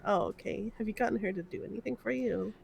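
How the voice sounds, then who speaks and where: Creaky-voiced woman in casual roleplay. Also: medium pitch, fast, a loud crowd. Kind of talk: improvised in-character roleplay chat.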